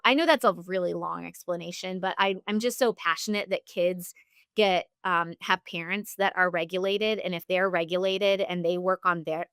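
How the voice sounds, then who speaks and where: A woman with a fairly low, smooth and clear voice gives earnest explanations as a guest practitioner in an interview. She is instructive and talks at length, and the sound is close and dry. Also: fairly bright tone, no echo. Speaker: functional health practitioner, podcast guest.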